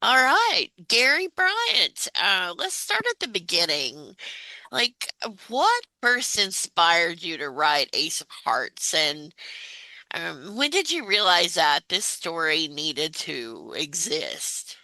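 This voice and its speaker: A woman hosts a conversational interview in a low but strikingly bright, forward voice. The sound is close and dry, and her questioning is informal and attentive. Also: wildly swooping pitch, slow, dry recording, rough recording. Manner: informal, attentive, acknowledges answers before asking.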